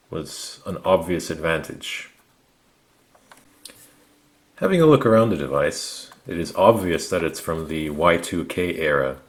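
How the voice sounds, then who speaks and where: A man narrating a product review, explaining things methodically in short, measured phrases. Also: low-pitched. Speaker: gadget reviewer.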